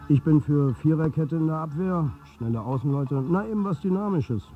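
An actor playing a wry, beleaguered, football-mad club manager in a radio play, talking in a colloquial, confiding way. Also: male voice, fairly high pitch, moderate pace, phone-quality sound, faint music behind, lightly acted. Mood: keen, confiding. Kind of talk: radio drama narration and dialogue.

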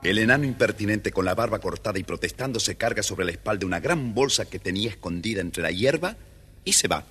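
Low, bright-toned male storybook narrator. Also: expressive, fast, breathy edge. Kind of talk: scripted children's story narration. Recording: faintly noisy old storybook record.

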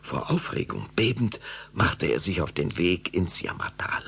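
Gravelly, animated male storyteller. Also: deep voice, a little slow, in a whisper. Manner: suspenseful, expressive storytelling. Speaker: narrator. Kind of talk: dramatic scripted story narration.

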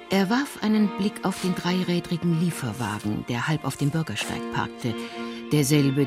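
A narrator reading scripted narration for a radio crime drama. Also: medium pitch.

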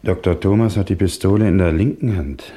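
Ein Schauspieler spielt in einem Krimihörspiel den diensteifrigen, sachlichen und neugierigen Assistenten eines Kriminalrats. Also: male voice, deep voice, fairly quick, mellow, lightly acted. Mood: keen, reasoning. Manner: dutiful, matter-of-fact, inquisitive. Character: eager, deferential male police assistant to a superintendent. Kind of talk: German radio crime play acting.

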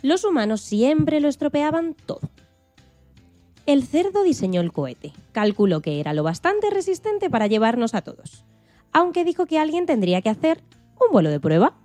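Storytelling farm hen played by a woman: high, playful, dramatic, children's audio tale, music underneath. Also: normal speed, no room sound. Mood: conspiratorial, animated. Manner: expressive, dramatic, playful emphasis. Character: excitable farm hen astronaut telling her own story. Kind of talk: radio play storytelling for children. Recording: music behind the voice.

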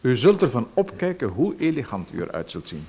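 A man doing a pushy, smooth-talking salesman. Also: mid-range voice, sing-song, fairly quick, mellow. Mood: persuasive. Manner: smooth, persuasive lecturing. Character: pushy male salesman of a dubious hair machine. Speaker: radio drama actor. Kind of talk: radio play acting.

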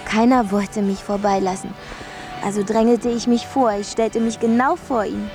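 A woman's voice: mid-range voice, steady tone, normal speed, mellow, natural voice. An actress playing a frantic, frustrated working woman who tells her story bluntly and urgently in a German radio drama. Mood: agitated recounting. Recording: music behind the voice.

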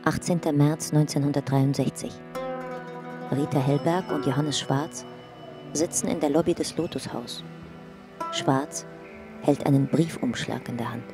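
A deep female voice narrates soberly over clearly audible music. The delivery is measured and factual, like documentary captions within a radio play. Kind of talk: scripted narration in radio drama.

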